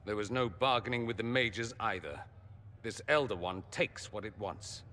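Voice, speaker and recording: An actor playing a wry, urbane mage in a fantasy video game, articulate and sardonic. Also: male voice, on the low side, normal speed, pushed and bright. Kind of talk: dramatic video game acting. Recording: long hall reverb.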